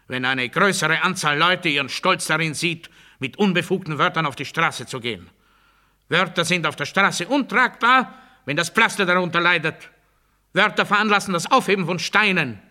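An actor playing a pompous authoritarian demagogue in a satirical radio play, giving a declamatory, bureaucratic and commanding speech. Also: male voice, on the high side, pushed and bright. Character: pompous authoritarian male demagogue drilling the masses.

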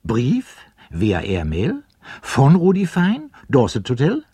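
Husky, lilting letter announcer. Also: male voice, mid-range voice, huge pitch swings, slow, warm tone, no room sound, full character voice. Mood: wry, formal. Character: male announcer of letters and their addresses. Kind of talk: radio play announcing.

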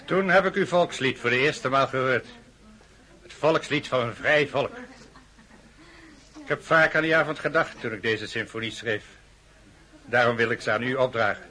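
An actor playing an earnest, idealistic composer, speaking formally in a radio drama. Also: male voice, medium pitch, moderate pace, long pauses, bright, forceful tone, close to an everyday voice.

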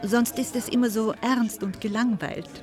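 An actress playing a gracious society hostess in a literary radio drama. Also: female voice, on the high side, steady tone, normal speed, music in the background, lightly acted. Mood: pleased, gracious. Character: gracious society hostess bidding guests goodbye.

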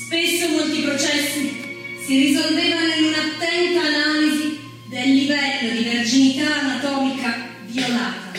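An actress playing an earnest female chronicler, narrating history in a measured, formal theatrical style. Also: high voice, fairly even intonation, far from the mic, lightly acted. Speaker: stage actress. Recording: very echoey stage from afar, music.

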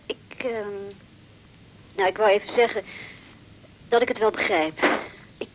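An actress playing a hurt, lovelorn music student in a radio drama, speaking tentatively and pleadingly. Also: female voice, mid-range voice, a little slow, a little hiss or hum, natural voice, poor audio. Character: hurt, pleading female cello student in love. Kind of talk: naturalistic radio drama dialogue.

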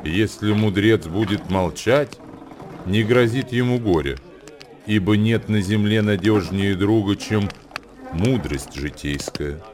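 Grave, low-pitched wandering sage. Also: male voice, a little mellow, a little hiss or hum. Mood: grave, reflective. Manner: grave, deliberate, declamatory. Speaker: radio play performer. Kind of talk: dramatic verse recitation in a radio play. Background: music.

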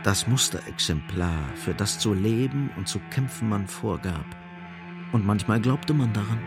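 An actor as the sardonic narrator of a radio play, delivering literary prose in a grave, emphatic, ironic way. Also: male voice, fairly low pitch, expressive, unhurried, music in the background. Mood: biting.

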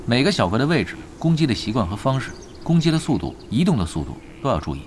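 A man's voice: medium pitch, very animated, quick pace, slight background noise, natural voice. An actor playing a patient, teasing veteran gamer who coaches his team in an audio drama. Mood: instructive. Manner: instructive, drily teasing. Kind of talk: Chinese radio drama acting.

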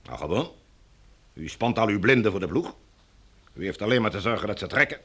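An actor playing an officious, domineering commander in a Dutch radio drama, with a formal, firm, bureaucratic way of talking. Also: male voice, fairly low pitch, expressive, a little fast, bright, forceful tone, breathy edge, heavily acted.

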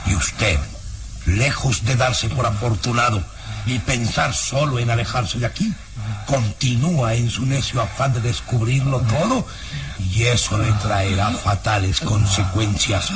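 An actor playing a sinister old baron in a radio serial, speaking with deliberate, mocking menace. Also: male voice, medium pitch, far from the mic, background noise, natural voice. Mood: cold menace. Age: older. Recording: radio drama with loud music behind. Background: loud dramatic music.

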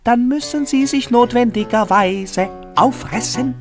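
Actress as a slow, mocking chorus over guitar. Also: female voice, a little high, close to an everyday voice. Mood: mocking, measured. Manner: measured, half-chanted declamation. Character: chorus narrator, slyly mocking village commentator. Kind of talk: German radio play chorus over music. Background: plucked guitar music.

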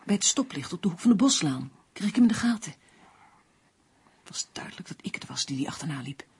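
An actress in a radio drama playing a tense, sharp-tongued crime novelist who is haughty and demanding. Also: female voice, on the low side, very animated, fast, slightly rough, full character voice. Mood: frightened, tense.

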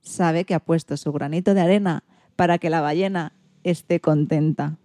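A woman from reads as a radio presenter. Her voice is very soft-edged and dark, slightly low, in a dry, close sound. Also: steady tone, moderate pace, no room sound. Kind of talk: scripted radio narration.

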